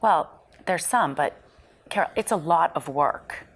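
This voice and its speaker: A woman acting as a guarded female TV psychologist in a stage drama read-through. Her voice sits on the low side, measured and a bit corrective. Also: animated, full character voice. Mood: defensive. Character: guarded woman television psychologist reminiscing with old college friends.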